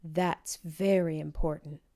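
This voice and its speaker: A woman's voice: low voice, steady tone, slow, mellow. A woman voicing a reassuring, determined teenage big sister in a children's storybook, firm but kindly.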